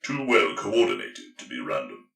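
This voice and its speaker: Man putting on a gruff, forceful soldier. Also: on the low side, sing-song, normal speed, reverberant, distant mic, full character voice. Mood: gruff, certain. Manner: gruff, grunting, challenging. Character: gruff, skeptical male space marine gunner. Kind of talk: audiobook character dialogue.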